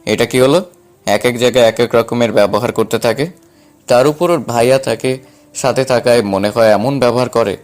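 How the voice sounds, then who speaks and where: A man's voice: mid-range voice, normal speed, a little mellow, natural voice. A man playing a flustered, cheeky but timid younger brother in an acted audio story. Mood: alarmed.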